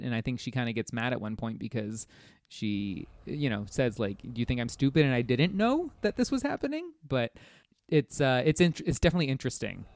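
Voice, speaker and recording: A man with a mid-pitched voice, recorded close and dry with no room sound. He chats informally as a podcast guest, rambling a little and rephrasing as he goes. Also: gravelly, no echo. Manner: rambling, self-correcting, informal. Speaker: podcast guest co-discussing a game. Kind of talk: casual chat.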